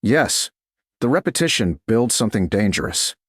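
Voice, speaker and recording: A man's voice: lowish voice, very animated, normal speed, slightly rough, no room sound, studio quality. A man narrating a romance story as a scripted audiobook.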